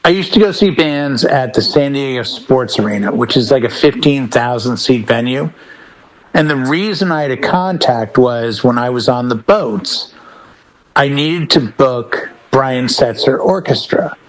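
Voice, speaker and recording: A man co-hosting a casual talk show, telling stories in an animated way and acting out bits of conversation. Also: mid-range voice, unhurried, rough recording. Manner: animated storytelling, acting out imagined phone calls. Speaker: podcast co-host, working DJ. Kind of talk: casual chat, storytelling.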